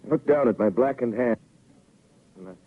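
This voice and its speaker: A man playing a somber professor, a solitary survivor, in a measured, literary 1930s radio drama monologue. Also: mid-range voice, husky, natural voice. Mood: somber, reflective. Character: somber male professor, solitary survivor writing his notes. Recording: tinny narrow-band 1930s radio recording.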